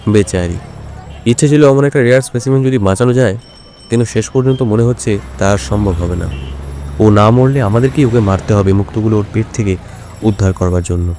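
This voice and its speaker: A man acting a shrewd, commanding older detective in an audio drama, authoritative and measured. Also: lowish voice, dark tone, a little hiss or hum, natural voice. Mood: thoughtful.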